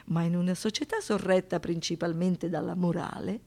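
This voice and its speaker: A woman giving scripted documentary narration for radio theatre, measured and deliberate. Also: lowish voice, fairly even intonation, a little mellow. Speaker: stage narrator.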